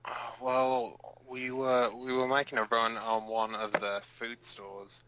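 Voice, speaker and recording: A man playing a gruff, battle-scarred resistance fighter co-hosting an underground radio broadcast in an audio drama, blunt, profane and halting. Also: mid-range voice, level tone, noisy background, lightly acted. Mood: reluctant, halting. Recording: dull lo-fi sound.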